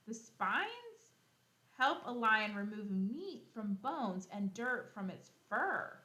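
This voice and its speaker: Woman, teacher, children's read-aloud, bright voice, expressive and explanatory, echoey room sound. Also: mid-range voice. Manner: expressive, explanatory, thinks aloud for young pupils. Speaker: elementary school teacher.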